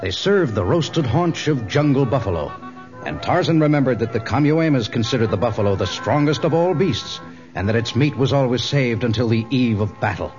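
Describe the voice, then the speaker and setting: A dramatic storyteller voiced by a man narrates a jungle adventure radio serial. His formal delivery swings widely in pitch, and the old recording is dull, with music playing behind him. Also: expressive, brisk, darkish tone, natural voice. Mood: dramatic, measured.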